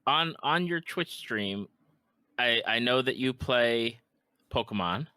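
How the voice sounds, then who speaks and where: Bright-voiced male podcast host, dry sound. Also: medium pitch, expressive, takes it slowly, clear, resonant tone, no echo. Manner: friendly questions with stumbling restarts. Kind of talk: casual interview.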